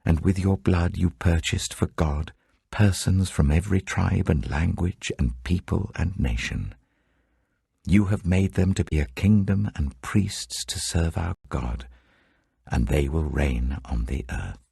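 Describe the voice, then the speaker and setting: An older man reading scripture aloud in a measured, solemn audiobook style, lifting his voice for quoted proclamations, recorded close in a studio. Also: low voice, vocal fry, warm tone, breathy edge, no room sound. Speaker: Bible reader.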